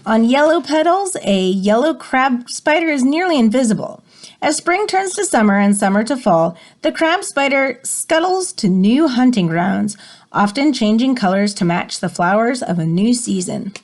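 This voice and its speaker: Animated woman reading aloud, higher-pitched voice. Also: normal speed. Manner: animated, dramatic emphasis. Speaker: teacher reading a picture book aloud. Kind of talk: expressive read-aloud with teaching asides.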